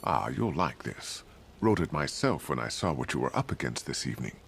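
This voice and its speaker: Deep, gravelly, wry tech supplier. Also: male voice, big pitch swings, normal speed, fairly bright tone, slight background noise, heavily acted. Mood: wry helpful. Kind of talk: video game acting.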